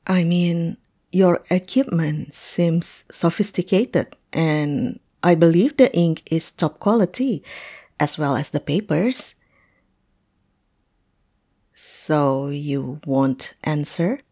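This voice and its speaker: A woman acting a hesitant, polite, heartbroken client in a fantasy roleplay audio drama, speaking haltingly. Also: sits low, mellow. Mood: puzzled, hesitant.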